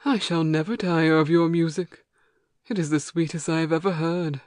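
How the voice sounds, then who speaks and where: A woman playing a self-assured, hospitable male giant watchman with a hundred eyes, read in a measured, formal way for an amateur audio play. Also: low voice, a little mellow, dry recording, studio quality, lightly acted. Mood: friendly, curious.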